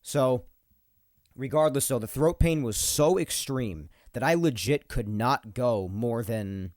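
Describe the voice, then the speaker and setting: A man's voice: medium pitch, darkish tone, dry recording, clean studio sound. A young man from New York hosting his solo podcast. He sounds a little nasal and congested from a cold, rambles, repeats himself and laughs between points. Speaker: podcast host. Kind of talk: casual solo podcast monologue. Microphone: podcast microphone.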